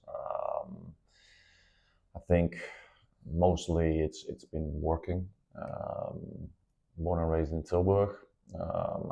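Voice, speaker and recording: Deep gravelly man, halting. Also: dark tone. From Netherlands. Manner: hesitant, many ums and restarts. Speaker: guest. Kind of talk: casual interview talk.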